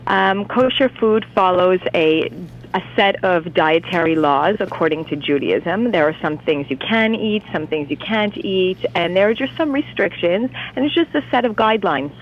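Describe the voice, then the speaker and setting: A woman from Canada, a cookbook author, talking brightly on a phone line into a radio interview, often starting with "you know what". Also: on the low side, lively intonation, moderate pace, slight background noise. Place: on the phone from home city.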